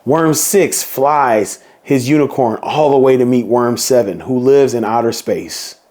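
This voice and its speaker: Slow, playful man reading to kids. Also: mid-range voice, a little mellow. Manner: slow, playful storybook reading with drawn-out counting. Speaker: children's book reader. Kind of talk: reading a picture book aloud.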